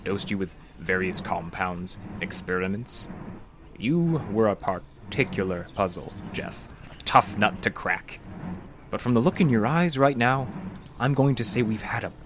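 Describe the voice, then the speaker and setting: A man playing a calmly menacing, condescending counselor in a horror audio drama, speaking deliberately and tauntingly. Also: on the low side, background noise. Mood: coolly confiding. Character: sinister, calmly menacing male counselor secretly drugging his patient.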